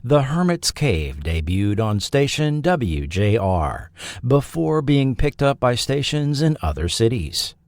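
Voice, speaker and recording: A man reading a scripted documentary narration as a podcast narrator, in a measured, dramatic storytelling manner. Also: mid-range voice, darkish tone, no echo, studio quality.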